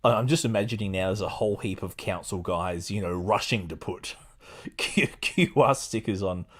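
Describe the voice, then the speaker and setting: A man's voice: fairly low pitch, moderate pace, a bit bright, husky. A middle-aged man hosting a podcast, chatting casually and reading aloud from a book. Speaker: podcast host.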